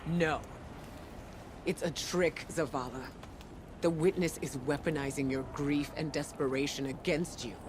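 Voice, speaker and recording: Wise woman leader for a game: deliberate, composed, serious, noisy ambience behind. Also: low voice, steady tone. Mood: firm, pleading. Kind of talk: video game cutscene acting.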